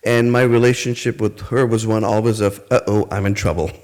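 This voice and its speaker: Low-voiced man telling his life story. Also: steady tone, brisk. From India. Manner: reflective, storytelling, with fillers and soft laughs. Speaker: guest speaker. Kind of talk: personal reminiscence talk.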